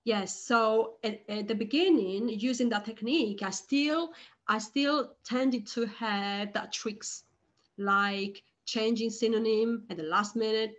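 A woman guest on a podcast, speaking slowly and hesitantly, with frequent restarts and repeated words. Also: on the high side. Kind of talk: conversational interview.

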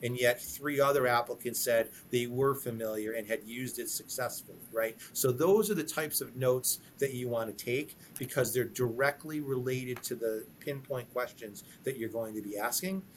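A man with an average-pitched voice explains interview practices as a guest lawyer on a podcast, with a faint background noise behind him. He talks in an explanatory, conversational way, stumbling over repeated words as he builds his points. He often checks in with a quick "right?".

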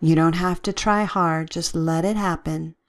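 A woman leading a guided relaxation with gentle, soothing, measured instructions and long pauses. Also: sits low, steady tone.

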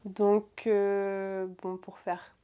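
A young woman in her twenties speaks at a middling pitch about her past, pausing often and restarting sentences. Her delivery is halting and full of fillers. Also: completely flat delivery, clear, resonant tone, muffled recording. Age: 20s. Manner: hesitant, many fillers and restarts. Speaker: guest. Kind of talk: personal confession in conversation.

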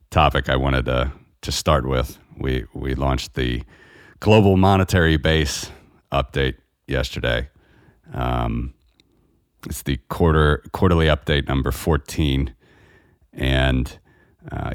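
A man's voice: very deep voice, no echo, studio quality. A man hosting a casual conversation about money, talking in a rambling way with many fillers. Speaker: podcast host. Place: lives in Eastern Europe.

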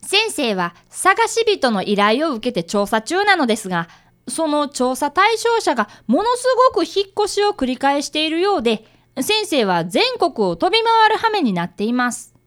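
A woman's voice: high-pitched, very animated, normal speed, a bit bright. A woman playing a polite, earnest fifth-grade boy who is an apprentice detective and narrates a radio drama.

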